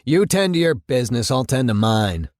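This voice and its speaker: A male reader plays an older police chief in an audiobook novel. He is terse and stubborn, answering in short, guarded phrases. There is quiet scorn under the curtness. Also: medium pitch, sing-song, normal speed, no room sound, clean studio sound, close to an everyday voice. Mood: scornful.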